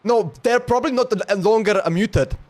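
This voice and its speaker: A man's voice: sits high, moderate pace, a little mellow. A man in his twenties with a Slovenian accent, giving casual, rambling livestream commentary on a game. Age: 20s. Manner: rambling, exclamatory.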